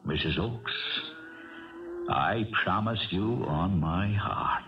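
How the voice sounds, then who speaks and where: An actor playing a small, excitable, folksy horse trainer and dreaming schemer in a 1970s radio drama, with stammers and exclamations. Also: male voice, low voice, slow, far from the mic, background noise. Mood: earnest, persuasive. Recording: dull vintage radio recording, off-mic, background clamour.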